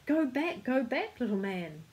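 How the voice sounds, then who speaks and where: A woman's voice: medium pitch, big pitch swings, moderate pace, darkish tone, close to an everyday voice. A woman voicing a gloomy male philosopher in a storybook, dramatic and discouraging in manner. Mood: gloomy warning. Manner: dramatic, lamenting.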